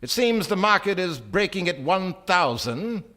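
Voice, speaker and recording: A man playing a bright, oratorical gentleman speculator. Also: sits high, big pitch swings, measured pace, heavily acted. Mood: musing, confident. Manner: formal, oratorical. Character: sly, self-assured gentleman stranger, a speculator in rarities. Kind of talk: stage radio drama acting.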